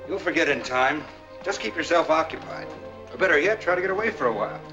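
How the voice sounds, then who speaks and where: An actor playing a smooth, duplicitous husband in a 1940s crime film, speaking with glib politeness. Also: male voice, a little high, expressive, fast, muffled recording, music in the background, lightly acted. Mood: falsely friendly. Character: smooth, duplicitous schemer posing as a caring husband.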